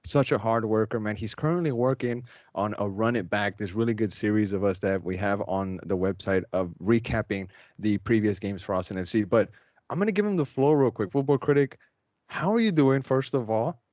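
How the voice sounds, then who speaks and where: A man's voice: on the low side, brisk, a little mellow, no room sound. A man hosting a podcast with an upbeat, loose, slangy delivery. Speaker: podcast host. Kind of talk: casual chat. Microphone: video call. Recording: dull lo-fi sound.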